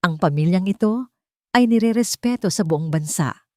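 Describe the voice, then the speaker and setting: A woman narrating a scripted romantic drama in an earnest, sentimental way with dramatic pauses. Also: lowish voice, animated, warm tone, dry recording, studio quality. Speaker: narrator of romantic audio stories.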